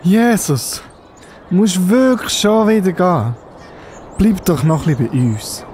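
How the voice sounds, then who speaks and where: A man's voice: sits high, big pitch swings, unhurried, a little mellow, a little hiss or hum. A man with a Swiss accent playing a pleading, attached disciple in a children's Bible audio play.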